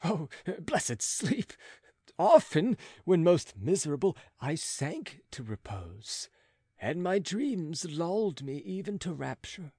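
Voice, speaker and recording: A man reads a gothic novel dramatically. His high voice has a husky edge and moves slowly, with theatrical pauses and emphasis. The sound is dry. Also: very animated, mellow, dry recording. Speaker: audiobook narrator. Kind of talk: dramatic gothic audiobook narration.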